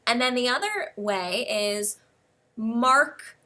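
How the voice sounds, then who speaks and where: An American woman teaching English one-on-one, explaining words slowly and spelling them out letter by letter. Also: a little high, expressive, bright, forceful tone.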